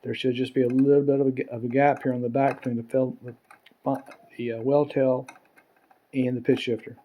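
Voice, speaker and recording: Male instructor, mid-range mellow voice, casual and plain-spoken, for step-by-step hands-on tutorials. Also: medium pitch, flat delivery, a little fast, a little hiss or hum. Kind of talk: hands-on how-to demonstration.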